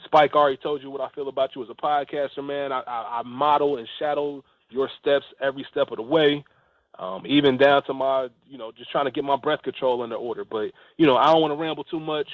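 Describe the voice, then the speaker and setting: A man's voice: medium pitch, fairly even intonation, fast, no room sound, narrow, tinny audio. A man speaking an earnest, appreciative thank-you as a guest leaving a voice note. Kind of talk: heartfelt spoken thank-you message.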